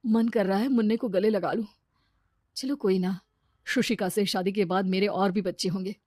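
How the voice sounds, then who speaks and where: A woman voicing an arrogant, fickle husband, boastful and wheedling, in an animated story. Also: medium pitch, moderate pace, no echo, studio quality, close to an everyday voice. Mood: wistful. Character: arrogant, fickle, money-proud husband, easily smitten.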